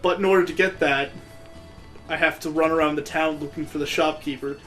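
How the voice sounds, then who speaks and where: A man's voice: a little high, fairly even intonation, a little fast, a bit bright, soft background music. A man giving casual, joking commentary as he plays a video game, with a sarcastic, mocking way of talking. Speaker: let's play host playing the game.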